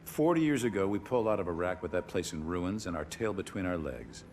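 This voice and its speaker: A man playing a confident, commanding founder of a private military corporation in a game cutscene, speaking in a deliberate way. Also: deep voice, expressive, darkish tone, a little hiss or hum. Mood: assured. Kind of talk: video game cutscene acting.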